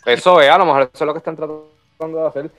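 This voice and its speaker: A man's voice: on the high side, fairly even intonation, rapid-fire, a bit bright, soft background music. A man, hosting a casual wrestling chat and steering it to the next topic.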